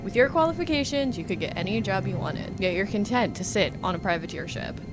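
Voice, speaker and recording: A woman's voice: medium pitch, animated, fairly quick, music in the background, natural voice. A woman playing a confident, shrewd businesswoman with a polished, pointed manner in a comic sci-fi audio drama. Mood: needling, frank. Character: confident, shrewd, needling businesswoman, an old girlfriend.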